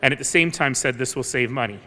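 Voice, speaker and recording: A man giving an argumentative public speech as a civic official, deliberate and pointed, with emphatic pauses. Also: pushed and bright. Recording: echoing hall with low rumbling background noise.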